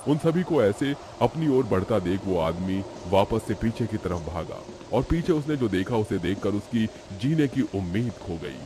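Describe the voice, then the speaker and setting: A man's voice: on the low side, very animated, normal speed, hardly any pauses, background noise. A man narrating a scripted horror story in a dramatic storytelling style.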